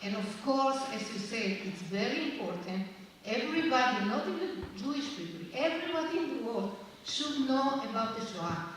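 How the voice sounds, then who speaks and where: A woman's voice: on the high side, lively intonation. Woman asking from across a hall. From Israel. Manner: thoughtful, searching for words, halting. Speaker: audience member asking a question. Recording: echoey hall from a distance, faint noise.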